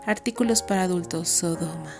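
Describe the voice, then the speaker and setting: A woman's voice: fairly low pitch, brisk, over music, lightly acted. A woman playing a radio announcer, reading an enticing parody advertisement. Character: female radio announcer selling adult goods in a parody ad.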